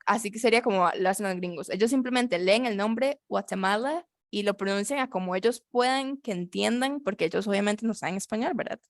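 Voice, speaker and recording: A woman teaching English over a video call, explaining slowly in Spanish and repeating words so her student can follow. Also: mid-range voice, no echo, studio quality. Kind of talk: online English lesson.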